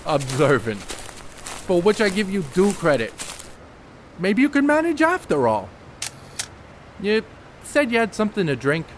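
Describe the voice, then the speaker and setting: A man's voice: high voice, very animated, a little slow, pauses often, a little hiss or hum. A man playing an educated, secretive ballplayer in a 1930s stage play, speaking in a precise, dry, condescending way. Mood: dry, guarded. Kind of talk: amateur stage play acting, 1930s period dialogue.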